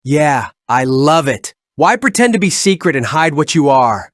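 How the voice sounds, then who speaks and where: A man's voice: fairly high pitch, big pitch swings, moderate pace, dry recording, studio quality. A man playing a cocky young fire-powered superhero in fan-made game dialogue, breezy, boastful and slangy.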